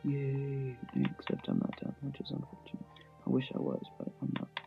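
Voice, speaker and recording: Gravelly guy on a tinny mic. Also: medium pitch, completely flat delivery, normal speed, faint music behind. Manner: thinking aloud in short fragments. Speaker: gamer narrating own gameplay. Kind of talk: casual gameplay commentary. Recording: thin phone-like mic, noisy, clicking.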